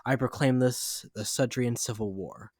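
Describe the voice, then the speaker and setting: A man's voice: medium pitch, a little slow, a little mellow, no room sound, clean studio sound, natural voice. A man playing a solemn male duke in an amateur dramatic reading, declamatory as he addresses his subjects. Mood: grave.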